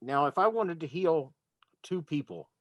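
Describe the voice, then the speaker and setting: A man's voice: a little high, animated, unhurried, no room sound, lo-fi sound. A man talking casually as a player in an online tabletop role-playing game.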